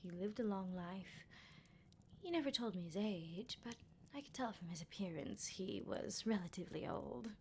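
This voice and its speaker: Woman voicing a playful menacing vampire lady, breathy half-whisper, sardonic, unhurried ASMR roleplay, clear background ambience. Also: medium pitch, lively intonation, a little mellow, a little hiss or hum, close to an everyday voice. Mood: musing, sardonic. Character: playful, menacing female vampire captor, decades old.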